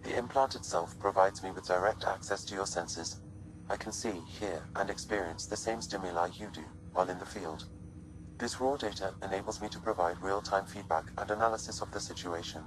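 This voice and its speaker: A man voicing a calm, measured artificial intelligence companion in a video game, speaking with precise, formal phrasing. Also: sits low, background noise, close to an everyday voice. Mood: calm, explanatory. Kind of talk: scripted video game dialogue. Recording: music behind the voice.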